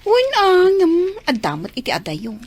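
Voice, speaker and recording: An actress playing a sociable, sympathetic neighbour in an Ilocano radio drama, chatty and lilting. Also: female voice, high voice, wildly swooping pitch, moderate pace. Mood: admiring, chatty.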